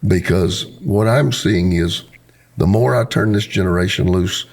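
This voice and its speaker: A middle-aged pastor, hoarse, hosting a casual talk show in an earnest, preacherly way. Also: male voice, low voice, measured pace, a little mellow. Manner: earnest and preacherly, with homespun sayings. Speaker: pastor and podcast host. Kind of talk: casual conversation, closing a podcast episode.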